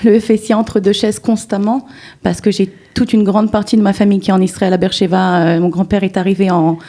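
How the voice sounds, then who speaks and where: A woman from France, a guest answering interview questions in a measured, reflective way. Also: medium pitch, little pitch movement, dark tone.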